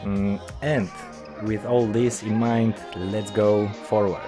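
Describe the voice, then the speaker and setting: Young Polish-accented gamer, deep and unhurried, hesitant and full of fillers, music underneath. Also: male voice, fairly even intonation. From Poland. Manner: hesitant, many filler sounds. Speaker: gaming YouTuber. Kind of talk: game update commentary.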